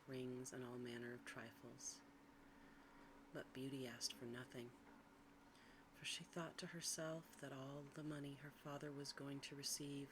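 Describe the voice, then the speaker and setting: A woman reads a bedtime story in ASMR style, in a soft, breathy, hushed and soothing whisper. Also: a bass voice. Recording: clearly audible background noise.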